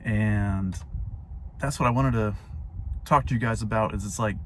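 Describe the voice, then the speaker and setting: A young man with a hoarse, fading voice, talking casually and reflectively to camera from his parked car with plenty of fillers. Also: on the low side, moderate pace, fairly bright tone, a little hiss or hum. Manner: rambling, reflective, many fillers. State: hoarse, voice going out. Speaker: vlogger.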